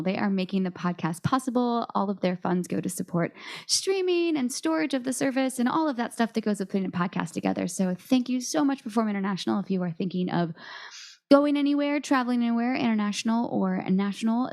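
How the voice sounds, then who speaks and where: A woman's voice: mid-range voice, creaky, a little mellow. A woman, hosting a podcast in an upbeat, chatty way. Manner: upbeat and chatty, addresses listeners as y'all. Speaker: podcast host.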